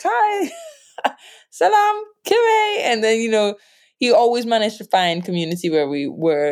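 Lively low-voiced woman telling her story. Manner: animated, laughs often, jumps up in pitch when quoting others. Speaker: interviewee. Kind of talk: reflective conversational storytelling.

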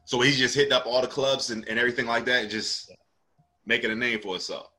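A man from South Jersey, a comedian hosting a casual conversation, relaxed and quick to agree with his guest. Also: mid-range voice. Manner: laid-back, frequent "right, right" agreement. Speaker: comedian and host.

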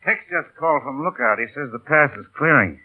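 An actor playing a gruff, sardonic airfield hand in an old radio drama, with a husky voice and blunt delivery. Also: male voice, medium pitch, animated, a little fast. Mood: gruff, dry. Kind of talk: 1930s radio drama acting. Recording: tinny, narrow-band old radio broadcast.

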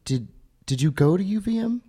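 A man's voice: high voice, lively intonation, fairly quick, mellow, husky. A man hosting an interview show, asking laid-back, rambling questions. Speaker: podcast host. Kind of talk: casual interview.

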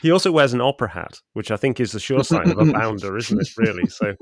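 A man's voice: medium pitch, sing-song, fast, no echo, clean studio sound. A man co-hosting a podcast, discussing and quoting literature in a wry, discursive, conversational manner. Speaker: podcast host.

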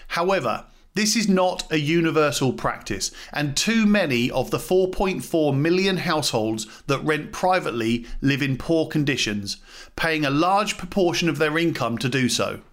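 Man reading aloud, higher-pitched voice. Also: a bit bright. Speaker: podcast host reading a document aloud. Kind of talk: scripted read-aloud narration.

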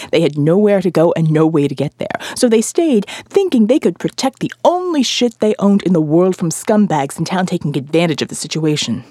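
A woman playing a gruff, foul-mouthed male survivalist leader in audio fiction, blunt and world-weary. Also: on the low side, darkish tone, no room sound, clean studio sound. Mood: bitter, impassioned. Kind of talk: dramatic audio fiction monologue.

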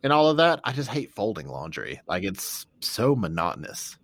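A young man co-hosting a casual podcast from his home recording office, talking in an animated way and swearing casually. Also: on the low side, normal speed. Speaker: podcast co-host. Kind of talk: casual chat. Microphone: home recording setup.

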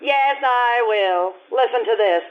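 A woman's voice: on the high side, takes it slowly. Woman acting a spirited society bride, muffled recording. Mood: curt, curious. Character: spirited young society bride, proud, warm, impulsive. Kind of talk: old-time radio play acting.

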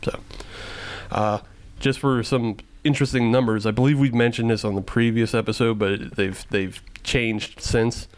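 A man's voice: medium pitch, steady tone, moderate pace. A man co-hosting a casual podcast chat, explaining things in a rambling way with lots of fillers. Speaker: podcast co-host.

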